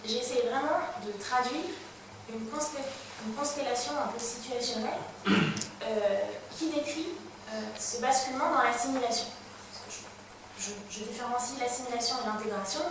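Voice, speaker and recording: A woman whose first language is Arabic speaks thoughtfully on a panel and repeats words as she searches for them. Her voice sits a little high. It is picked up from far off in a very echoey hall over steady noise. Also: normal speed. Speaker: stage director on a panel. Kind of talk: reflective panel talk.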